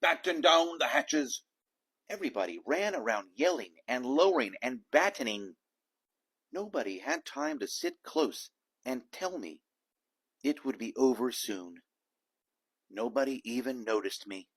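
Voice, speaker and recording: A man reading a children's storybook aloud in an expressive narrating style. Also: medium pitch, unhurried, husky. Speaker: storybook reader.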